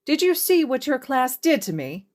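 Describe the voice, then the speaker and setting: A narrator voicing a loud, dramatic and playful man in an audiobook story. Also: sits high, very animated, moderate pace, voice pushed up. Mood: dramatic complaint. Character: loud, dramatic, theatrical adult man, hero teacher. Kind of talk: audiobook character voice.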